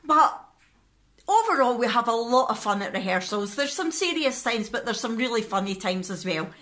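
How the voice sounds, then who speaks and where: Female vlogger, thirties, bright and animated, talking casually to a handheld camera. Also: on the high side, moderate pace. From Scotland. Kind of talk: casual vlog chat to camera. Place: living room at home. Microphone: phone or camera at arm's length.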